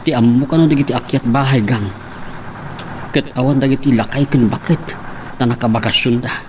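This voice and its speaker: An earnest young village son, played by a young man in a homemade drama, telling his story plainly at a middle pitch. The mic is well away from him, and insects chirp clearly behind. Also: fairly quick, recorded from a distance, background noise, natural voice. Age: young adult. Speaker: son, narrator. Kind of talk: amateur drama acting and narration.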